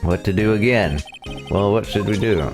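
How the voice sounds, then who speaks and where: Man voicing deep-toned earnest young lawyer over music. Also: normal speed, natural voice. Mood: concerned, wry. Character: earnest, easily flustered young male defense lawyer. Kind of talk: voiced visual novel playthrough. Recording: game music behind the voice. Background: video game music.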